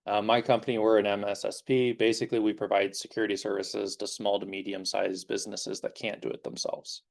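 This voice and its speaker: A man's voice: fairly low pitch, little pitch movement, a little fast, gravelly. A young man in his twenties, a guest security engineer speaking informally to a class in a classroom, rambling with many fillers as his voice starts to give out. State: slightly losing his voice.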